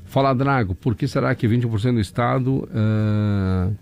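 Gravelly southern Brazilian radio host. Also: male voice, mid-range voice, moderate pace, soft background music. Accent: southern Brazilian, Rio Grande do Sul. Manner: chatty, folksy, addresses listeners warmly. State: hoarse from laryngitis and sinusitis. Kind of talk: casual radio chat reading listener messages. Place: radio studio.

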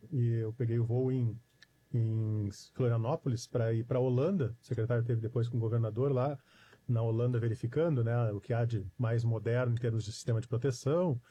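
A man's voice: medium pitch, dark tone, no echo. A man from hosting a talk show, asking long, chatty questions with rising emphasis. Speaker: radio show host. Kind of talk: live talk show interview.

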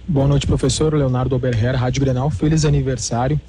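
Raspy, dark-toned Brazilian male reporter. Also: mid-range voice, steady tone, a little fast. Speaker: radio sports reporter. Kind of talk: press conference question.

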